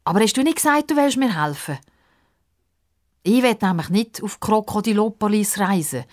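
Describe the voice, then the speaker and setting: A woman's voice: mid-range voice, lively intonation, moderate pace, lightly acted. A woman voicing an eager, sceptical young girl in a children's story, direct and questioning, with a Swiss German accent. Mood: insistent.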